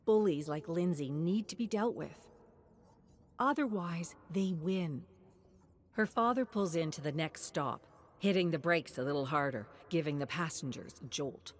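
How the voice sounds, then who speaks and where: A woman reading a scripted story in a measured, expressive, dramatic way, sometimes dropping to a hush. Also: low-pitched, a little hiss or hum. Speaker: narrator of game lore stories.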